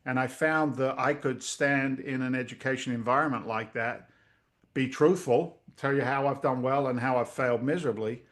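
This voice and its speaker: A middle-aged man, a real estate investor telling stories in a casual interview over a video call, lively and blunt, acting out voices. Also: medium pitch, normal speed. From Massachusetts. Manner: animated storyteller, acts out quoted voices, swears casually.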